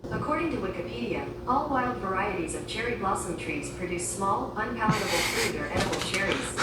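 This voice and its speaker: A synthetic woman's voice of a voice assistant reading out search answers in an even, scripted way. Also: medium pitch. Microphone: played from a smart speaker, picked up by the room mic. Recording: echoey, distant speaker with loud noise.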